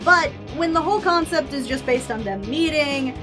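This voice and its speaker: A woman's voice: high-pitched, normal speed, music in the background. A woman hosting a scripted video essay, with an informal, opinionated and playfully emphatic manner.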